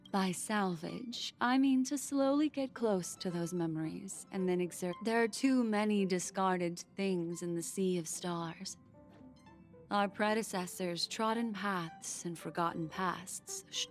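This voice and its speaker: A woman voicing a serene, enigmatic, gently wistful character in a video game, speaking in a measured, poetic way. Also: mid-range voice, natural voice.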